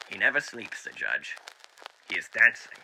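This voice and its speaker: A man voicing a dapper, sinister radio demon who reads a story theatrically and deliberately through an old radio filter. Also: lowish voice, big pitch swings, gravelly, background noise. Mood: sinister glee. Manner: theatrical, deliberate, with hushed dips and swells. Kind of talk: dramatic book reading as old-time radio host.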